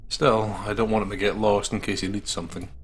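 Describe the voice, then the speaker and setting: A man's voice: on the low side, little pitch movement, quick pace, slight background noise. A Scottish man co-hosting a game playthrough, reading text aloud and bantering with irreverent swearing. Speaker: gaming channel co-host.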